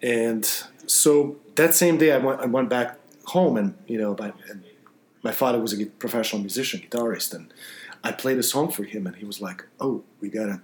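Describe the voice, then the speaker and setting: A man from Croatia, a guitarist guest on a podcast, speaking casually in a halting way with many restarts and fillers. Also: medium pitch. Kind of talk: casual interview. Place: busy festival venue, stone hall.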